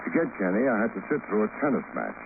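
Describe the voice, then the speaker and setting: An actor playing a cynical private investigator in an old radio drama, with an American accent and a dry, clipped, sardonic delivery. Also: male voice, on the low side, huge pitch swings, fast, vocal fry, exaggerated voice. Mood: wry narrating. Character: wry, cynical male private investigator narrating his case. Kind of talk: 1940s-50s radio detective drama acting. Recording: muffled, noisy old radio recording.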